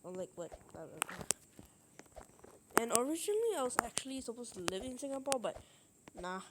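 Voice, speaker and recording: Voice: medium pitch, big pitch swings, fairly quick, heavy background noise. A gamer from Malaysia, giving casual, rambling, playful game commentary. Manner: rambling, playful, repeats words. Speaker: gaming video host.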